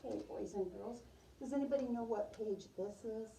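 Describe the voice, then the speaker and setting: A woman teacher reading a picture book aloud to young children, pausing to ask questions and repeating their answers. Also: a little high, gravelly, dark tone, slight background noise. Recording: roomy, distant microphone, muffled top end.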